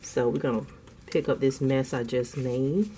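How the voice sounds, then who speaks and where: A woman hosts a casual budgeting vlog at home, her voice low and mellow. She sounds a little congested and clears her throat now and then. She chats easily, laughs often and counts bills out loud. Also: a little slow, a little hiss or hum. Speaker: budgeting channel host.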